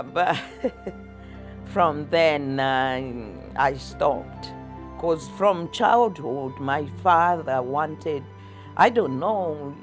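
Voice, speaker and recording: Older lady w zambian accent, slow halting chuckly storytelling, soft music in background. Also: deep voice. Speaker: interviewee, athlete's mother. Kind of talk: personal recollection in conversation.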